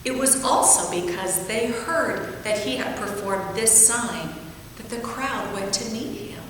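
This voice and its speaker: A woman's voice: on the high side, a little slow. A woman giving a formal reading from the Bible. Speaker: scripture reader. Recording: very echoey large hall, distant, faint noise.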